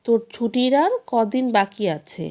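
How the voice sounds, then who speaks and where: A woman's voice: a little high, expressive, a little slow, darkish tone. A woman voicing a watchful, teasing uncle who questions and coaxes children in a storybook tale. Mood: slyly stern.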